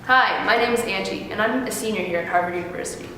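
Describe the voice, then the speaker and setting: A woman in her twenties, a university student, introducing herself and delivering an earnest scripted pitch. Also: fast, fairly bright tone, very echoey, big-room sound, a little hiss or hum, poor audio. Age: 20s.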